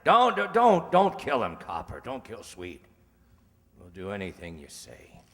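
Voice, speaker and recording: Man playing a slow-talking, surly crook. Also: lowish voice, huge pitch swings. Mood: pleading, desperate. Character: surly, suspicious crook henchman. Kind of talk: old-time radio crime drama acting. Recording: echoey hall stage recording.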